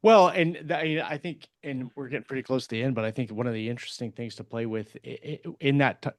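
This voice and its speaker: A man's voice: medium pitch, quick pace, dry recording, studio quality. A man co-hosting a podcast in casual discussion, often checking that the listener agrees. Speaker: podcast co-host.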